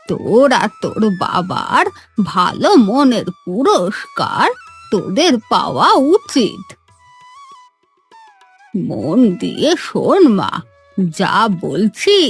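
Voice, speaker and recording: A woman voicing a mysterious, kindly old village granny in a fairy-tale cartoon, husky and consoling. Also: mid-range voice, wildly swooping pitch, slow, faint music behind, exaggerated voice. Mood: solemn, knowing. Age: older.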